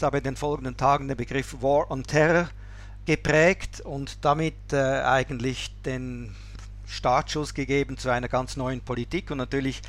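A man giving measured, explanatory political commentary as an interview guest. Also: medium pitch, a bit bright.

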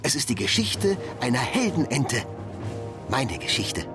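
A man's voice: medium pitch, very animated, measured pace. An actor playing a proud heroic duck who grandly narrates his own tale in a comic radio drama. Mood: proud, grandiose. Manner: grandiose, theatrical. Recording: music bed behind narration.

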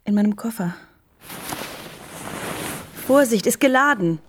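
A woman's voice: fairly high pitch, lively intonation, unhurried, slight background noise. An actress playing a shrewd, coaxing wife who works on her lover, in a naturalistic radio crime drama. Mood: worried, coaxing.

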